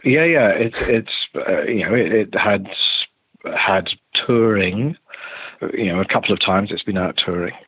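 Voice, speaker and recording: Low, husky older man on a phone-like line. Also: unhurried. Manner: hesitant, rambling, restarts sentences. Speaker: interviewed theatre director. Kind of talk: remote podcast interview. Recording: roomy, thin phone-like call audio.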